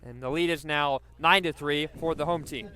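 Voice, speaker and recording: A man's voice: on the high side, moderate pace, bright, forceful tone. A man doing live radio baseball play-by-play, describing the action and working in stats as he goes. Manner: descriptive, stat-laden delivery.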